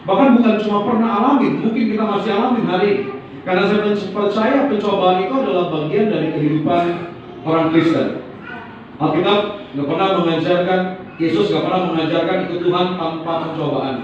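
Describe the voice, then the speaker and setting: A man's voice: sits high, fast, a little hiss or hum. A man preaching a sermon as pastor, calling on the congregation to repeat after him and answer amen. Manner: call-and-response, prompting the congregation to repeat and say amen. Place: church sanctuary. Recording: echoey church hall, distant dull mic.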